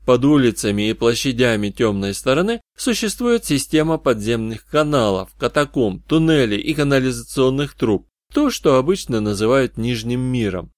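A man's voice: medium pitch, lively intonation, normal speed, hardly any pauses, no room sound. Male audiobook narrator, dry close recording. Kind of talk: scripted first-person detective fantasy narration.

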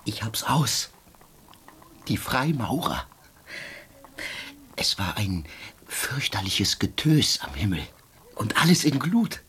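An actor in a radio drama playing a haunted, agitated poor soldier and father who broods in hushed, disjointed phrases. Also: lowish voice, very animated, slow, long pauses, slight background noise, heavily acted. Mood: haunted, urgent.

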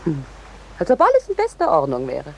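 Young female war correspondent, brisk and scornful, lively intonation, vintage radio play with slight noise. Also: sits low, heavily acted. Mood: brisk, impatient. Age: young adult. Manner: brisk, matter-of-fact, bossy, scornful. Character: brash, pushy young female war correspondent hungry for scandal. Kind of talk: 1950s German radio play acting. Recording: noisy 1950s radio drama recording.

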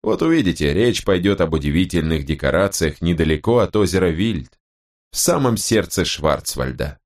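Audiobook role: smug, genteel old gentleman read by a male narrator setting out a letter persuasively, with highly varied melody. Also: very animated, moderate pace, exaggerated voice. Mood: inviting. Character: courteous, self-assured old male letter writer, vain. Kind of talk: audiobook reading of a character's letter.

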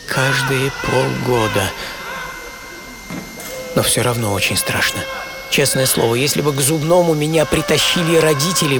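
Man narrating a reflective radio story over music. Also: medium pitch. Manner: reflective, intimate, wry storytelling. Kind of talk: scripted first-person audio drama narration.

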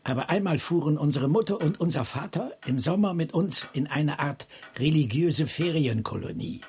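A man's voice: on the high side, expressive, moderate pace, a little mellow, a little hiss or hum, close to an everyday voice. A man acting an irascible, stubborn eccentric in a radio drama, with an emphatic, declaiming delivery. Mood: solemn, declaiming.

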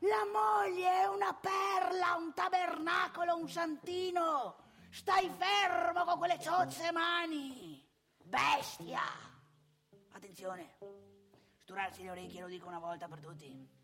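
A woman's voice: on the low side, fairly even intonation, normal speed, gravelly, pauses often, over music. A woman playing a cantankerous, opinionated old married man in a theatrical comic monologue, with a northeastern Italian accent, scolding and haranguing in rhetorical questions. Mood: furious, then scolding, mocking.

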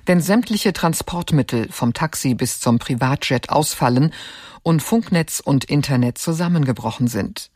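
Measured radio announcer, dry sound. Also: male voice, fairly high pitch, expressive, a little mellow, no room sound.

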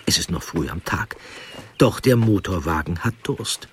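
Low-voiced male storyteller. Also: expressive, unhurried, fairly bright tone, slight background noise. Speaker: narrator. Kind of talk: scripted storytelling narration.

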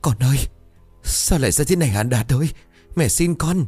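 A man's voice: mid-range voice, expressive, moderate pace, a little mellow, breathy edge, no room sound, faint music behind, voice pushed up. A man putting on a frantic, sobbing mother. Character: panicked grieving middle-aged mother.

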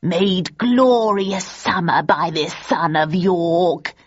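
A woman voicing a cruel male stage king who declaims Shakespearean verse in a slow, drawn-out, hammy way. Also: fairly low pitch, slightly rough, muffled recording. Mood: grand declaiming.

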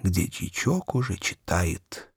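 A man narrating a children's story in an expressive, theatrical first-person reading, moving between hushed and excited delivery. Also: deep voice, slow pace, creaky, breathy edge, dry recording, studio quality. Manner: theatrical, animated, swinging from hushed whispers to excited exclamation. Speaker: audiobook narrator.

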